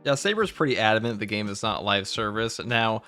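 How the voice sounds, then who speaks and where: A man with a fairly low voice talks casually about video games over faint background music. He lays out his opinions in a measured, conversational way. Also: expressive, bright, forceful tone. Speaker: gaming YouTuber. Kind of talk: casual video game discussion.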